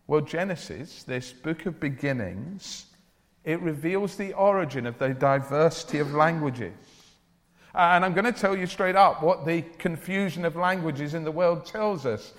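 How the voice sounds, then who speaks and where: A man preaching a church sermon in an earnest, deliberate way, with declarative phrasing. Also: fairly high pitch. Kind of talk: evangelical church sermon with Bible reading and prayer. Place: large church or hall, speaking to a congregation. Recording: reverberant hall, long echo.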